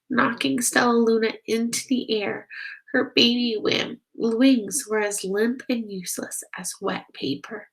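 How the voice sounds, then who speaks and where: A woman reading a children's picture book aloud, expressive and slow, stopping to ask the listeners questions. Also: fairly high pitch, gravelly, rough recording. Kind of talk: children's read-aloud narration.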